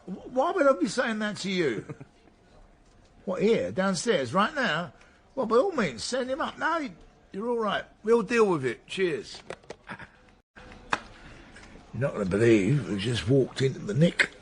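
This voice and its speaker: An actor playing a stubborn older British detective, a sardonic, blunt and slangy mentor, in naturalistic radio drama dialogue. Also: male voice, high voice, very animated, a little slow, breathy edge, full character voice. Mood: wry, scheming.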